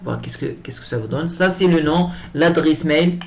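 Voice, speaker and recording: A man giving an improvised software tutorial, speaking hesitantly and often repeating himself as he explains each step. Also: a little high, normal speed. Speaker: tutorial presenter. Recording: clear background noise.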